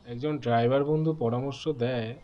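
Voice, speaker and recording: A man narrating a horror story dramatically, in measured short phrases with pauses. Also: mid-range voice, fairly even intonation, lo-fi sound, a little hiss or hum.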